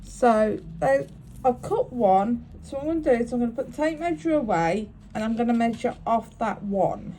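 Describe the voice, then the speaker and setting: A woman's voice: on the high side, expressive, normal speed, mellow, slight background noise, rough recording. A woman, sniffly with a cold, talking through each step of making a bracelet in a chatty, apologetic way. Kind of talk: crafting tutorial.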